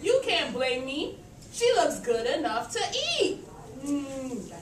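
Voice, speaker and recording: A woman's voice: high-pitched, big pitch swings, takes it slowly, exaggerated voice. A woman voicing a sly, cheeky, hungry male wolf who sweet-talks people in a children's puppet show. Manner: wheedling, cheeky. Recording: distant echoey room with faint noise.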